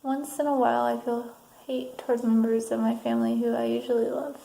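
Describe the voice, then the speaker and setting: Soft-spoken ASMR woman with creaky voice. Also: on the high side, level tone, a little fast. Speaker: ASMR performer roleplaying a counselor. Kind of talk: soft-spoken ASMR roleplay, reading assessment statements. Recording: roomy sound, faint background noise.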